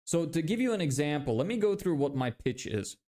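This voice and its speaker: A man in his twenties from Lithuania, with a Lithuanian accent, hosting a casual live stream. He reads viewer questions aloud and explains sales ideas in a relaxed way, with lots of fillers and restarts. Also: fairly high pitch, brisk. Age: 20s.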